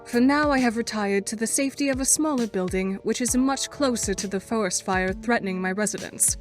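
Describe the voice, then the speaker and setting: A woman playing a composed, bookish, dryly sardonic teenage girl with deadpan, formal speech in a webcomic fan dub. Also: a little high, fairly quick, close to an everyday voice. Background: music.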